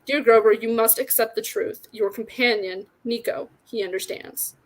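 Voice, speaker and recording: A woman voicing an ancient, fading male god of the wild from a storybook, gentle and forlorn, in a solemn, tender manner. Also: a little high, fairly even intonation, moderate pace, close to an everyday voice. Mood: weary, gentle.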